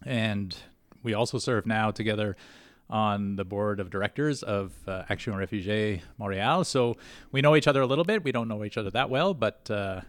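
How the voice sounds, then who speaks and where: A man hosting a podcast interview in a college classroom, speaking in a friendly, halting way with many pauses. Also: fairly low pitch, dry recording. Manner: warm, hesitant, many "uh"s. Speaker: podcast host. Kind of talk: conversational interview.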